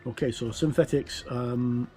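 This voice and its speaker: A man's voice: medium pitch, steady tone, a little slow, mellow, soft background music. A middle-aged man giving a casual, rambling commentary as he reviews a tabletop game book, explaining informally and drifting into asides.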